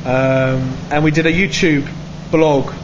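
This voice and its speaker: A man's voice: a little high, fairly even intonation, takes it slowly. A man who organises the charity, earnestly explaining at length from behind the camera in a pub. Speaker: charity organiser filming the artist. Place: indoor pub. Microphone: camera mic, speaking from behind the camera. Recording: dull sound with clear background noise.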